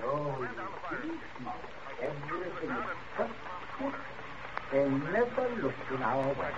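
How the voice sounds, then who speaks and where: A man's voice: on the high side, animated, slow pace, a loud crowd. An actor playing a genteel, domineering, scheming middle-aged father with clipped, proper manners in a 1940s radio suspense drama. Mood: falsely jovial. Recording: scratchy, muffled old radio broadcast, noisy.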